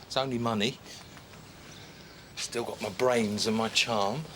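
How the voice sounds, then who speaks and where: An actor playing a cocky, flippant British suspect in a TV drama. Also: male voice, fairly low pitch, steady tone, measured pace, noisy background, natural voice.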